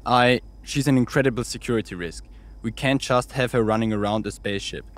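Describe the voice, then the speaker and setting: A man acting a stern male crew leader, mid-range pitch, curt, sardonic, cautious, audio drama. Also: a little fast, natural voice. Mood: sardonic, firm.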